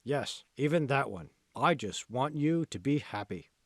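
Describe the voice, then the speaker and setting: A man's voice: medium pitch, animated, unhurried, no echo, studio quality. A man voicing a coaxing street merchant with an ingratiating, hawking delivery in a storybook fable. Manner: sing-song hawking, ingratiating. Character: coaxing, wheedling street merchant selling worms.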